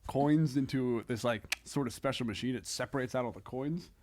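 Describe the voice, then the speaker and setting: A man chatting casually as a comedian guest on a podcast, talking in a halting, tangential way with frequent self-corrections. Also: medium pitch, a little mellow.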